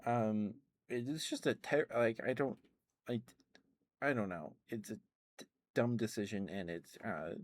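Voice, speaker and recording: A man rambling casually in an ASMR livestream style, halting and restarting his words as he goes, close to the microphone. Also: lowish voice, dry recording. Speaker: livestream host.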